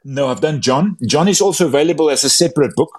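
A man's voice: fairly high pitch, quick pace, crowd noise. A man whose first language is Afrikaans, speaking English with an Afrikaans accent, talking as a guest about his books in a casual interview. He talks eagerly, tripping over words and restarting often. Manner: enthusiastic, many stumbling repeats and restarts. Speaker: author and Bible translator.

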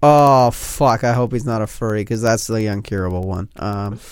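A man's voice: on the low side, normal speed, clear, resonant tone, no room sound, clean studio sound. A man co-hosting a podcast, reacting with profane outrage and mockery. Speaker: podcast co-host. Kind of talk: casual podcast banter.

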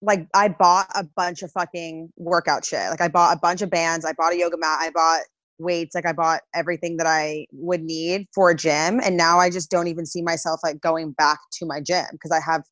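A young woman talks as a guest in relaxed chat, peppering her sentences with "like" and casual swearing. Her voice sits on the low side. The sound is close and dry. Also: moderate pace, no echo. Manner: chatty, swears freely, many "like" fillers.

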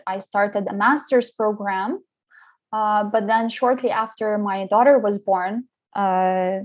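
A middle-aged woman who grew up in Liberia, speaking as an interview guest. Her pitch sits in an ordinary middle range, and she talks reflectively, pausing with "uh" and restarting her phrases as she thinks. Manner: reflective, many fillers and restarts. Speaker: guest scholar. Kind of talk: interview conversation.

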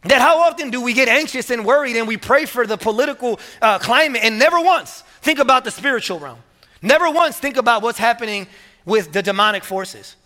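A man preaching a sermon as pastor to his church, energetic and emphatic, often repeating words as he builds to strong points. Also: extremely high pitch, brisk. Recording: long hall reverb.

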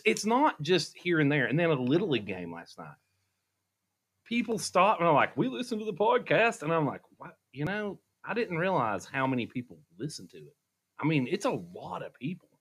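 A man chats on a podcast, his husky voice sitting a little high. His pitch swings widely as he tells animated stories and laughs easily. He talks into a studio microphone. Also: moderate pace. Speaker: podcast co-host. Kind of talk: casual podcast chat.

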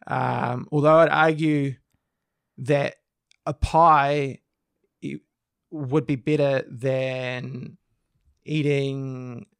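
A man co-hosting a podcast with a croaky voice, explaining things at length in a casual chat with frequent restarts. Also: mid-range voice, level tone, very slow, dry recording. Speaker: podcast co-host. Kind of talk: casual discussion.

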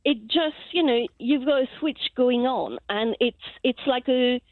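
A woman's voice: sits high, a little slow, no room sound. A woman caller with a French accent, a native French speaker who talks hesitantly and searches for her words. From France. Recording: phone line.

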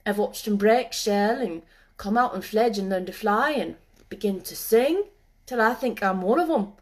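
A woman's voice: mid-range voice, normal speed, natural voice. A woman voicing a cheerful, friendly moorland boy in a storybook, with a Yorkshire accent and easy, earthy dialect speech. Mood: warm, easy.

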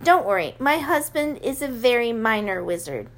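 A woman's voice: on the high side, expressive, moderate pace. A woman reading as a kindly, confident wizard's wife. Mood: reassuring. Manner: confident and warmly reassuring.

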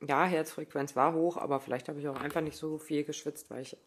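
A woman's voice: sits low, steady tone, a little fast, husky. A woman in her thirties talking casually in a spoken diary, rambling with lots of fillers and asides. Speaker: running podcaster.

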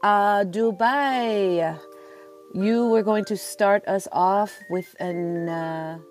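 A woman's voice: medium pitch, drawn-out words, darkish tone. A woman from Sudan hosting a live panel conversationally, in an informal, affirming way. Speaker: panel moderator, journalist and podcast host. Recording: live audio-app chat, faint music.

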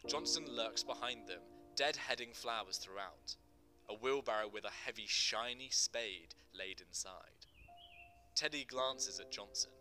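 A man's voice: fairly low pitch, a little slow, sharp tone, soft background music. A male actor giving scripted, dramatic narration of a screenplay in terse stage directions.

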